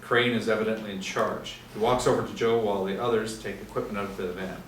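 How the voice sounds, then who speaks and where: A man's voice: fairly low pitch, steady tone, fast. A man reading out scripted screenplay action and stage directions as the narrator. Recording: echoey room, distant mic, faint noise.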